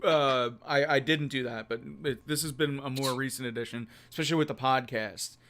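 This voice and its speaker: A man hosting a casual live stream from a quiet home room on a condenser mic, rambling and self-deprecating with frequent laughs. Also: mid-range voice, steady tone, a little fast, a little hiss or hum. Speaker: host of a live stream show. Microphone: USB condenser mic.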